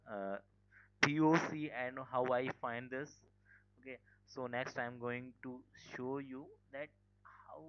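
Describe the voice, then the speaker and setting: A man's voice: medium pitch, clear, resonant tone. A man teaching a live online security class, informal, full of fillers and "okay". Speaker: cybersecurity instructor. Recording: dull lo-fi call audio.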